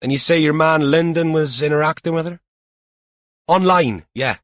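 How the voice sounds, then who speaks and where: A man's voice: on the high side, moderate pace, no echo, studio quality, voice pushed up, close to an everyday voice. Man voicing a curious, blunt junior detective. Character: junior police detective, curious, plain-spoken, eager.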